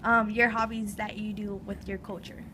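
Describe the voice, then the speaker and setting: A woman's voice: medium pitch, little pitch movement, moderate pace. A young woman interviewing fellow students, asking brief questions and giving short acknowledgements. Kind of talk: casual interview. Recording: noisy background.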